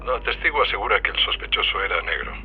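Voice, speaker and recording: A man's voice: lowish voice, lively intonation, fast talker, soft background music. A man playing a businesslike police officer reporting formally over a radio in an audio drama. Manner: clipped, formal. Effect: police radio filter. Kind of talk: podcast audio drama acting. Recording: muffled police radio transmission.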